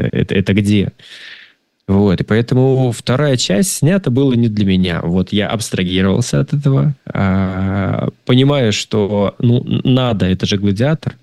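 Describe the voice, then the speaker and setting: A man giving a casual film review in conversation, speaking in a halting, rambling way and often repeating words. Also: on the low side, warm tone, no echo. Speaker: film discussion participant.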